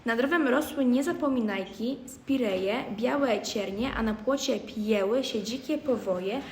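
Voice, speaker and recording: A schoolgirl narrates a storybook tale in a fairly high voice. The room is echoey, with faint background noise. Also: measured pace, darkish tone. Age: child, around twelve.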